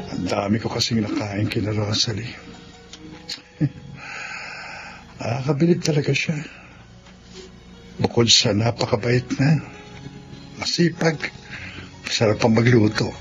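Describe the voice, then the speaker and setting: A man's voice: medium pitch, unhurried, long pauses, close to an everyday voice. A man with a Filipino accent acting an earnest, loyal young best friend in a radio drama. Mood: wistful musing. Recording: dull lo-fi radio, faint music behind.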